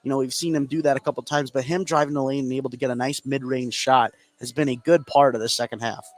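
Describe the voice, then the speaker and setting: A man's voice: mid-range voice, fairly even intonation, fast. A man giving conversational basketball analysis as a color commentator during a live broadcast. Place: indoor school basketball gym.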